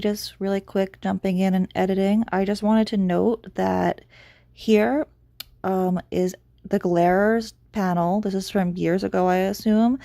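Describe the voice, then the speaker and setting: A woman host with a gravelly voice jumping in casually to explain a clip to viewers. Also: lowish voice, mellow, no echo, clean studio sound. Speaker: channel host.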